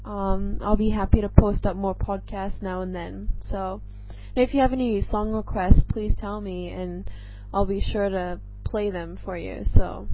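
A woman's voice: medium pitch, steady tone, a little slow, few pauses. A young woman from England, with a mixed accent that sounds mostly American, hosts her podcast as a casual solo chat. She talks nervously and rambles, with lots of ums. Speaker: podcast host. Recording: dull lo-fi recording, faint music.

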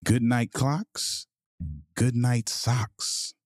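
A man hosting a podcast and reading a children's picture book aloud in a measured, deliberate bedtime-story delivery. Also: mid-range voice, expressive, no room sound, clean studio sound.

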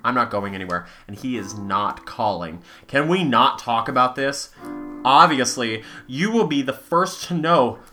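A woman's voice: a bass voice, lively intonation, unhurried, pushed and bright, soft background music, heavily acted. A woman reading the lines of a guarded, weary young girlfriend from a fan story.

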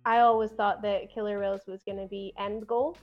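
A young woman with a softened Glaswegian lilt talks in an earnest, chatty way, laughing easily as she goes. Her voice sits at an ordinary middle pitch. The sound is a little dull and lo-fi, with faint music underneath. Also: level tone, brisk. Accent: softened Glaswegian Scottish. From Scotland. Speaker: podcast guest. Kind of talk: casual chat.

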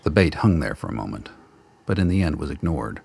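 A man reading the narration of a radio play in a measured, wry storytelling manner. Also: extremely low pitch, sing-song, gravelly, darkish tone, slightly rough. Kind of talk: scripted narration.

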